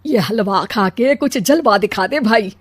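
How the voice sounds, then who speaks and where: A woman's voice: mid-range voice, animated, unhurried, husky. A woman playing a bitter, plotting older mother-in-law in a cartoon story, whining and scolding dramatically. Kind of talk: Hindi cartoon story acting.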